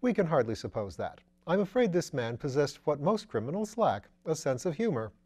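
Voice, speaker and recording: Guy reading a witty posh gentleman detective in a mystery audiobook, slangy, musing out loud, breezy. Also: on the high side, very animated, a little fast, pitched above the performer's own voice. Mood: musing, wry. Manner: drawling clipped slang, exclamatory, musing. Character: breezy, witty upper-class English gentleman sleuth.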